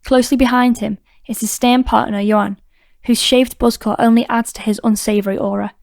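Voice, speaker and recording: Narrator, female: scripted crime audiobook reading, mellow tone, slightly high register, steady. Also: a little fast, creaky, no echo, clean studio sound.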